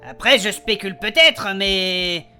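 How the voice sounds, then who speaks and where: A naive young male adventurer acted by a man with a raised, bright voice. He rambles and hesitates, boastful yet sweetly lovestruck. Faint music sits behind this comic fantasy drama. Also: high-pitched, unhurried, exaggerated voice. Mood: puzzling it out. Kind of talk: French comedic fantasy audio drama acting.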